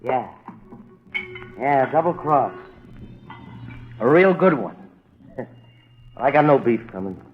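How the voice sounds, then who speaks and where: An actor playing a bitter, fatalistic small-time crook with a tough, slangy, sardonic delivery in 1950s crime radio style. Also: male voice, medium pitch, animated, takes it slowly, pauses often, slightly rough, soft background music. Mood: bitter, rueful. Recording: dull vintage radio recording.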